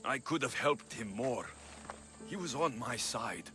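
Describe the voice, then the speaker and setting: Tired greek warrior guy, sad and quiet but bright-sounding, soft music. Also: medium pitch, lively intonation, measured pace. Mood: somber. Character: weary male Greek mercenary hero, grieving. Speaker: game protagonist. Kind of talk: video game cutscene acting.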